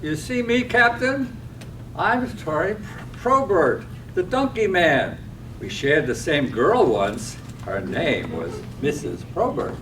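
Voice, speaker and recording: A man playing a mournful drowned donkey man's ghost in a poetic radio drama, calling out haltingly. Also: fairly high pitch, big pitch swings, fairly bright tone, exaggerated voice. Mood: haunting, halting. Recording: roomy, distant mic, faint noise.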